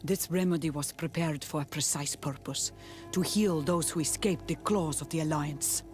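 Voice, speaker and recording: An actress playing a proud, wary island healer in a game, speaking solemnly and formally with bitterness. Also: female voice, low-pitched, normal speed, natural voice. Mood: grave, bitter. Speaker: non-player character. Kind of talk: scripted game dialogue. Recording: game music behind the voice.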